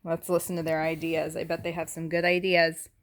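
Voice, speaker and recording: A woman teacher reading a picture book aloud to young children, deliberately and expressively, with explanatory asides and questions. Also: sits low, little pitch movement. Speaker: elementary school teacher reading to her class.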